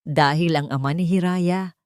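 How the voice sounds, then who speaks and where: Woman as older male doctor, storybook dialogue, calm, measured, secretive, grave, dry recording. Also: on the low side, dry recording, natural voice. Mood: solemn, sad.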